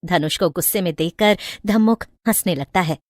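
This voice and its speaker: A woman's voice: lowish voice, moderate pace, no room sound, clean studio sound. A woman storyteller reading a scripted moral tale in a dramatic narrating style.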